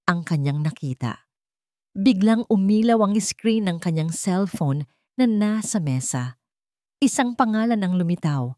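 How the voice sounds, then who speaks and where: A woman with a Filipino accent reading a scripted dramatic story in a measured, storytelling manner. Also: fairly low pitch, darkish tone, dry recording, studio quality. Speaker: storyteller, narrator.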